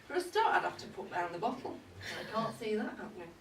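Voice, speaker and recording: A woman's voice: mid-range voice, sing-song, fast, close to an everyday voice. A woman acting a boozy older mother-in-law in a comic stage monologue, wry, bawdy and chatty. Her voice comes from a distance in a roomy, echoing space, with a noticeable crowd around her. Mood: wry, exasperated. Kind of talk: comic dramatic monologue read to a live audience. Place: indoor live event at a pub. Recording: roomy, distant live recording with audience noise. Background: audience crowd.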